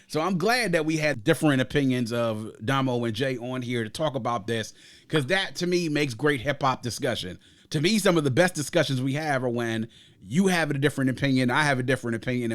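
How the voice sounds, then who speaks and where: A man's voice: medium pitch, a bit bright. A man hosting a music review podcast, giving opinions in an emphatic, conversational way and repeating phrases for emphasis. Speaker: podcast host.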